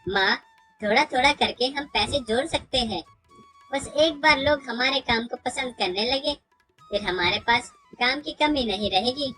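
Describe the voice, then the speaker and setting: A woman acts out a caring young village daughter in a storybook tale. Her voice is high and bright with a slightly husky edge, earnest and pleading, over background music. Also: unhurried, heavily acted. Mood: reassuring, eager.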